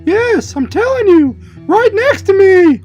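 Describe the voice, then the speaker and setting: Very high-pitched, excitable schoolboy puppet. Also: female voice, slow, highs cut off, faint music behind, exaggerated voice. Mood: boastful, excited. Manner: excitable, self-justifying. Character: indignant, boastful, naive twelve-year-old schoolboy puppet. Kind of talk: comedy puppet show acting.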